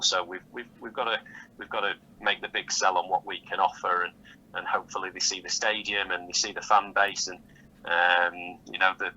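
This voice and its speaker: A man giving measured, halting answers as a football manager interviewed over the phone, often repeating words as he goes. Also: low voice, pushed and bright, breathy edge. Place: phone call. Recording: dull, lightly noisy phone call audio.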